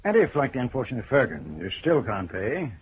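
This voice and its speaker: An actor playing a stern, ironic older judge in a radio drama, speaking in a measured, deliberate way. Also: male voice, mid-range voice, big pitch swings, a little hiss or hum, lightly acted. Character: stern elderly male Scottish judge, dry and ironic. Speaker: senior judge. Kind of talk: 1970s BBC radio drama acting. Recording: muffled old radio broadcast.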